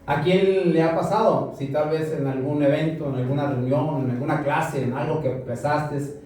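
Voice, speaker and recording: A man's voice: on the high side, fairly even intonation, normal speed, darkish tone, recorded from a distance. A Mexican man preaching a sermon to a congregation, asking them questions and repeating them back. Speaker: pastor. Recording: roomy echo from afar, music behind.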